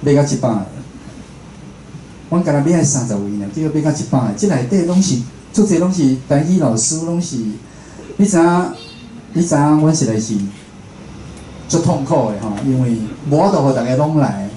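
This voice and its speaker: A man's voice: on the high side, moderate pace, dark tone. Taiwanese man lecturing in an echoey room. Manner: conversational, explanatory, checking in with listeners. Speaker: radio host and station director giving a talk. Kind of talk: informal public lecture. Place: indoor room at a public talk. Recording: roomy talk venue with faint noise.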